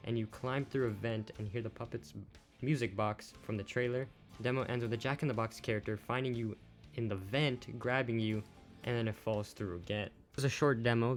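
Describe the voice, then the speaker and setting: A man giving casual, off-the-cuff video commentary as a host, plain-spoken and a little unsure. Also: medium pitch. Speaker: YouTube host, game news commentator. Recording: music playing behind the voice.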